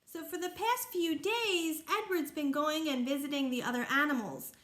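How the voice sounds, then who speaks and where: A woman with a high-pitched voice talks to young children as their teacher. She explains simply and keeps asking them questions about the story. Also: normal speed, fairly bright tone.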